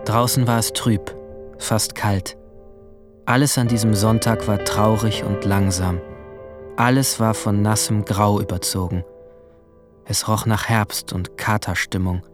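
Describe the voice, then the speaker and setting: A young male actor plays a troubled teenage boy telling his own story in a radio play. The voice is low, restrained and sombre. Loud music runs underneath the narration. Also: fairly even intonation, unhurried, natural voice. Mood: melancholy. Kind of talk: first-person radio drama narration.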